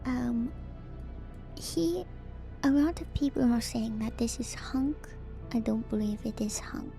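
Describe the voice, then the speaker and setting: Woman, whispered ASMR game commentary, mellow, slow, soft and breathy, close-miked. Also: a little high, faint music behind. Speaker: streamer, host of a lore breakdown.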